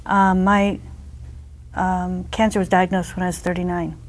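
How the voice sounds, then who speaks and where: A woman in an interview telling a story in an animated way, laughing now and then. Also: lowish voice, unhurried. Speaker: program participant. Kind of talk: testimonial interview.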